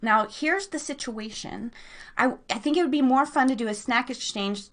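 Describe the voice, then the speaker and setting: High-voiced, bubbly American woman radio host. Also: normal speed, fairly bright tone. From United States. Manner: bubbly, affectionate with listeners. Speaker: host of a live internet radio show. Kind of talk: casual chatty live radio hosting.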